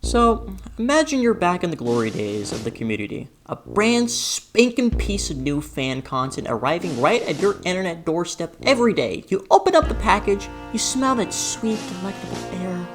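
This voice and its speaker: Theatrical woman narrator with swooping pitch. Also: big pitch swings, normal speed, hardly any pauses. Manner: theatrical, sarcastic, exaggerated emphasis. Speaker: video essay host and reaction YouTuber. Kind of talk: scripted video essay narration addressed to the viewer. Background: background music.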